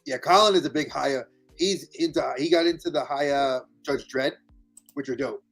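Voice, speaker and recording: Man, New York accent, host, friendly, animated, somewhat high pitch, faint music. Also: moderate pace, husky. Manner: animated, friendly, says dude a lot. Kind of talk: casual live chat.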